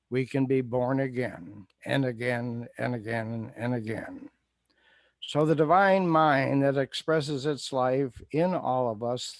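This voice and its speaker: A man speaking an improvised prayer as a spiritual leader, in solemn, measured phrases. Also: mid-range voice, creaky, no room sound. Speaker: spiritual leader giving a blessing.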